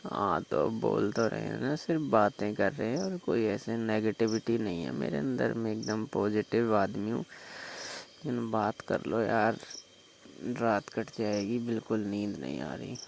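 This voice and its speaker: A man acts a flirtatious Rajasthani village brother-in-law with a lowish, heavily creaky voice. He coaxes persistently and informally, as in a late-night phone call. Faint noise and night insects sit behind him. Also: unhurried. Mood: coaxing. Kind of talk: staged phone-call role-play in casual talk.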